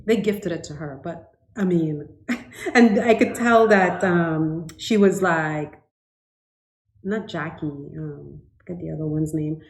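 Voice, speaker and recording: A woman's voice: low voice, takes it slowly. Chatty woman co-host in echoey room. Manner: chatty, laughs often, trails off with ums. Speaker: podcast co-host. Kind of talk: casual chat about knitting. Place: home living room, indoors.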